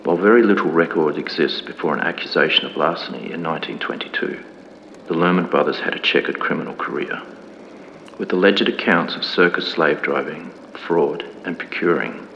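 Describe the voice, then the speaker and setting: Solemn male archival narrator for analog horror: low voice, formal and grave, dull noisy recording. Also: brisk. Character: solemn male 1950s archival documentary narrator reporting a mystery. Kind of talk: scripted mock-documentary narration for analog horror. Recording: dull lo-fi, long reverb, clear background noise.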